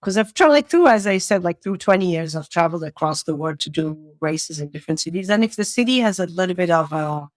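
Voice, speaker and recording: A woman's voice: lowish voice, animated, fast talker, hardly any pauses, dry recording, studio quality. A middle-aged woman from Saudi Arabia, a podcast guest talking in a casual, anecdotal way.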